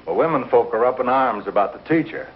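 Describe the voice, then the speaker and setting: A man's voice: medium pitch, expressive, fast, lightly acted. A man playing a mild, easygoing frontier townsman with folksy, hedging talk in a vintage TV western. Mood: hesitant. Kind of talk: 1950s TV western acting. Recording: dull vintage soundtrack, faint noise.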